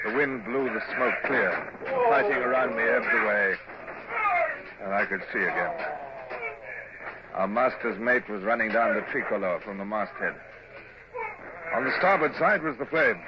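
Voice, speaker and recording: An actor with a British accent playing a cool, commanding Royal Navy captain, measured and formal, in a vintage radio drama style. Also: male voice, on the low side, close to an everyday voice. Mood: grave, narrating. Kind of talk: vintage radio drama acting, first-person narration and orders. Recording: dull, noisy old radio drama recording.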